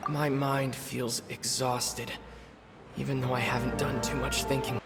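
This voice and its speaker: A young traveler's inner monologue in a video game. He muses in a deliberate, puzzled way at a medium pitch. Long reverb trails the voice, and music plays clearly behind it. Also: flat delivery. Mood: pensive, uneasy. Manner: musing, deliberate.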